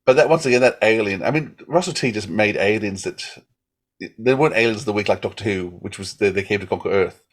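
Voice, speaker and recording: A man's voice: lowish voice, fast talker. A man co-hosting a podcast, chatting casually and emphatically, often repeating himself. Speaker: podcast co-host. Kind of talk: casual chat.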